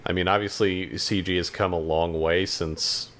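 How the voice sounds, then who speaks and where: Guy with a deep-ish low voice just chatting on a podcast, kinda halting, lots of you knows and likes. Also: steady tone, a bit bright. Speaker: podcast co-host. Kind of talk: casual chat.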